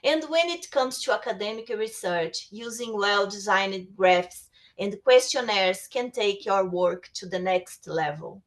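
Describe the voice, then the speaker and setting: A woman's voice: mid-range voice, animated, a little slow. A woman whose first language is Portuguese, presenting a webinar lecture on writing tools, reading prepared text with small restarts. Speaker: webinar speaker.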